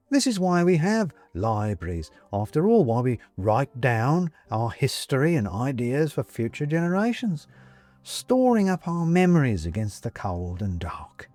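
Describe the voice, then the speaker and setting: Man putting on a hearty old-school British gentleman ghost. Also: medium pitch, sing-song, a little slow, dry recording, faint music behind. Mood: solemn, lecturing. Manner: clipped old-fashioned chummy upper-class phrasing. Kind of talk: audiobook character acting.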